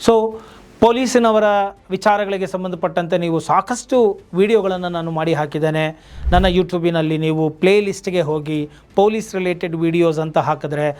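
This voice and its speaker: An Indian man with a noticeably high voice gives legal advice as a video host. He speaks like a teacher, addressing viewers directly and posing questions that he then explains. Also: fairly even intonation, moderate pace, a little mellow.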